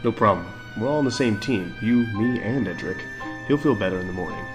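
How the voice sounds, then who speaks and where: With music behind him, a man plays an easygoing fantasy adventurer in a lowish voice. He comes across as a level-headed peacemaker in an audio drama. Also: lively intonation, fairly quick, natural voice. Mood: calm, reassuring.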